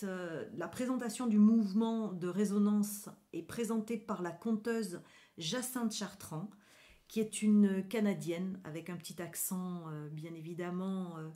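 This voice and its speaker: A woman's voice: mid-range voice, mellow. A woman being interviewed on radio as a guest, explaining things enthusiastically and searching for her words as she goes. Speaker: guest, graphic artist presenting a gallery event.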